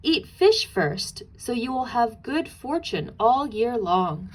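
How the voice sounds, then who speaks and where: A brave and clever little storybook girl, voiced by a woman reading aloud. She pitches her words up and speaks with urgency, always persuading. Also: very animated, slow, heavily acted, rough recording. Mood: urgent, persuasive. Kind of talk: storybook character voice.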